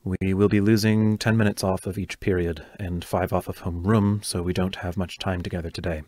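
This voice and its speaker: A man's voice: deep voice, level tone, a little fast, a little mellow. An actor playing a stern, strained male schoolteacher in an audio play, formal and clipped in his delivery. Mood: brisk, businesslike. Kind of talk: audio drama acting.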